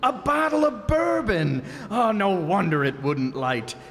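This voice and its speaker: A man's voice: sits high, very animated, unhurried, fairly bright tone, full character voice. A man playing John, a grumbling, put-upon and exhausted husband, in an old-time radio comedy staged live in a reverberant hall. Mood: flustered. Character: John, a grumpy, exhausted middle-aged husband. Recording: live stage recording with long reverb and faint noise.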